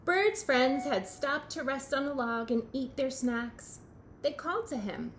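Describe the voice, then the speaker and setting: A woman teacher reading a picture book aloud to young children, expressive and questioning. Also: high-pitched, a little hiss or hum. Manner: expressive, pauses to question the listener.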